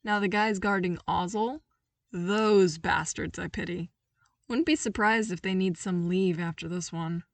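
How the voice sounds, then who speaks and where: A woman's voice: on the low side, measured pace, dry recording. Chatty rank-and-file trooper, played by a woman: casual, frank, breezy audiobook role, dry and slightly distant recording. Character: laid-back, chatty male armored trooper, frank grunt. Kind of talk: fan fiction audiobook reading.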